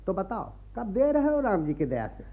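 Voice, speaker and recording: An actor in a radio play voicing a greedy, pompous Brahmin moneylender with a taunting, falsely pious manner. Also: male voice, high voice, huge pitch swings, normal speed, full character voice. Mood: needling. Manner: taunting, repeats a pious catchphrase. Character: greedy, wheedling male Brahmin moneylender, pompous village bully. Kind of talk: Hindi radio play acting. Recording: muffled lo-fi radio archive recording.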